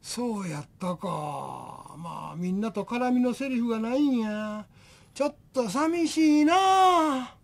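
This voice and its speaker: Actor as grumbling male narrator, Kansai accent, slow delivery, radio comedy. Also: very high-pitched, expressive, vocal fry, full character voice. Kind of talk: comic radio drama acting.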